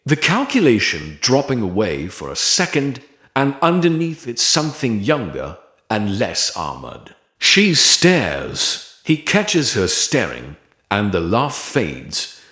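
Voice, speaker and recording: A man narrating a scripted romance story in a measured, dramatic way, in short phrases. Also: fairly low pitch, a bit bright, echoey room. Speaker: audiobook narrator.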